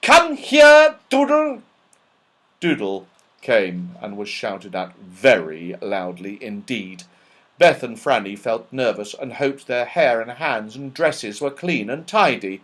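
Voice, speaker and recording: A man reading a children's story aloud as scripted narration, in an expressive, dramatic storytelling manner. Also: on the low side, slow pace. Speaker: audiobook reader.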